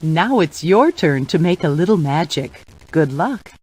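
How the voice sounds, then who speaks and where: A woman's voice: sits low, expressive, a little slow, husky, heavily acted. Lilting, kindly fairy godmother. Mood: kindly, inviting. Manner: gentle, storytelling. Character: kindly female fairy godmother telling fairy tales. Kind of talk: scripted children's storybook narration.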